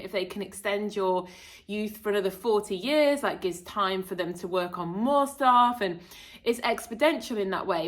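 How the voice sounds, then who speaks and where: A woman's voice: medium pitch, fairly bright tone. A woman hosting her own channel, talking casually and earnestly to camera about spiritual self-improvement, rambling a little with fillers and self-corrections. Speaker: channel host.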